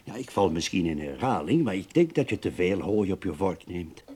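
Low-voiced, placating office boss. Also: male voice, very animated, normal speed, darkish tone. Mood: uneasy, pressing. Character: male office boss, smooth, placating yet pressing. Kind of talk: radio drama acting.

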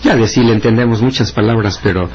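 A man's voice: fairly low pitch, quick pace, breathy edge. A man from Oaxaca, Mexico, with a Mexican accent and Mixtec as his first language, chatting as a radio guest in a rambling way, with many hesitant fillers. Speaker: guest, community radio presenter. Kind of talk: casual radio interview chat. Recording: muffled radio broadcast.

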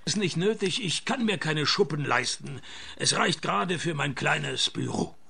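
An actor playing a sardonic, hard-up male private detective in a radio play, wisecracking in a deadpan way. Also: fairly high pitch, a little slow, gravelly, husky, full character voice. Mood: dry, teasing. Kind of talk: radio play dialogue and first-person narration.

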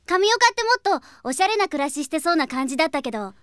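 A woman's voice: high-pitched, very animated, fast, fairly bright tone, no echo, studio quality. A woman voicing a small, curious, blunt boy with a childlike manner in a Japanese audio drama. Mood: frank, eager. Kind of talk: Japanese anime-style audio drama acting.

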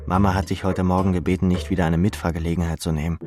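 A man's voice: deep voice, level tone, racing, over music. A man reading scripted literary narration in the second person for an audio drama.

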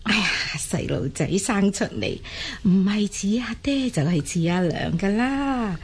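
A woman's voice: mid-range voice, lively intonation, measured pace, a little mellow, slightly rough. A woman playing a chatty old midwife in a Cantonese radio drama, fussing and soothing with many interjections. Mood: reassuring. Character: chatty reassuring old midwife of a Mongol tribe.

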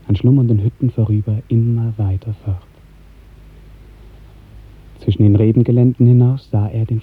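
A man narrating a literary radio drama in 1950s German style, with measured, lyrical storytelling. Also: fairly low pitch. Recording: muffled, narrow-band 1950s radio recording.

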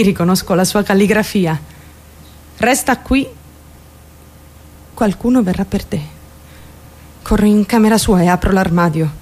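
A woman's voice: mid-range voice, a little mellow, husky, lightly acted. An actress playing a troubled teenage girl who recounts her life in an intense, confiding dramatic radio monologue. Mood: naive confiding. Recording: radio drama over background music. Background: music.

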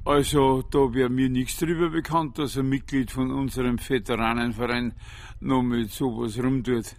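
Actor as a creaky older Bavarian club official. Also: male voice, mid-range voice, steady tone, normal speed, soft background music, lightly acted. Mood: guarded. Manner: defensive, pedantic, folksy. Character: stuffy, wary veterans' club official, older man.

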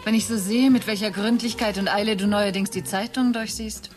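An actress playing a nagging, sceptical middle-aged wife in a German radio drama, blunt and sardonic. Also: female voice, medium pitch, steady tone, brisk, a little mellow, soft background music, close to an everyday voice. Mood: needling.

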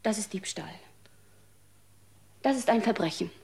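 An actress playing a grieving, honest mother who pleads and protests, moving from hushed whispers to raised insistence. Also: medium pitch, animated, a little slow, creaky, husky, heavily acted. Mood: fearful. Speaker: mother at a funeral. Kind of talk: radio drama acting.

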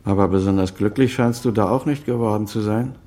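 Man acting a stern, low-voiced older father. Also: brisk, warm tone, a little hiss or hum, lightly acted. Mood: reproachful. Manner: reproachful, probing. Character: stern, moralizing elderly father, former chief physician. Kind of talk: naturalistic German radio drama acting.

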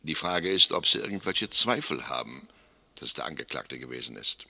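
A man's voice: extremely low pitch, expressive, gravelly, bright, forceful tone, husky, heavily acted. A male actor playing a grave English judge who instructs a jury solemnly and emphatically in a German radio play. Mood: solemn, insistent. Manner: solemn, emphatic, deliberate rhetorical phrasing. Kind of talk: 1960s German radio play courtroom speech. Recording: reverberant hall.

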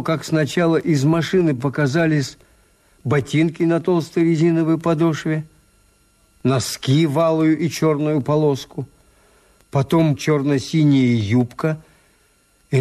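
Male actor as wry hotel owner, first-person narrator, slightly high voice, measured, Soviet radio play. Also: darkish tone, natural voice. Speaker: narrator and lead character.

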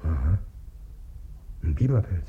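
A man's voice: very deep voice, very animated, breathy edge, heavily acted. An actor playing a wily, grumbling boatman, haggling plaintively in 1950s German radio drama style. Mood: covetous. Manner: plaintive, folksy, drawn-out haggling. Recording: dull, muffled 1950s radio recording.

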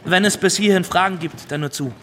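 An actor playing an earnest young Somali fisherman, telling his story formally and deliberately in a radio drama monologue. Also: high-pitched, music in the background, natural voice.

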